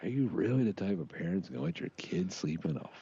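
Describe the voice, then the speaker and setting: A man with a low, husky voice riffs casually as a podcast co-host. He is slangy and profane, acting out little bits of dialogue with lively swings in pitch. The recording sounds slightly dull and muffled. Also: fast. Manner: slangy, profane, riffing with acted-out quotes. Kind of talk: casual comedic chat.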